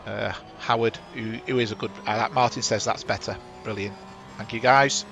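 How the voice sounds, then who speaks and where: A man's voice: lowish voice, fairly even intonation, normal speed, creaky, fairly bright tone, slight background noise. A chatty man hosting a sim racing stream on a headset, talking over the action and correcting himself. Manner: chatty, rambling, self-correcting. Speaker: sim racing broadcast host. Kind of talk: live race commentary.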